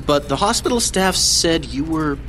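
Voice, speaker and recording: An actor playing a sceptical police interrogator, pointed and questioning, in a horror audio drama. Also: male voice, a little high, animated, moderate pace, a bit bright, soft background music. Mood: probing.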